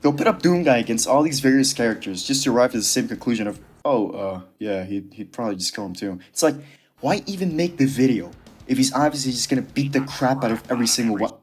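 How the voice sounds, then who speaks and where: A man's voice: medium pitch, animated, fast, slightly rough, faint music behind. A man narrating a casual scripted commentary in a sardonic, dismissive, casually profane way. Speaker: video creator narrating a commentary.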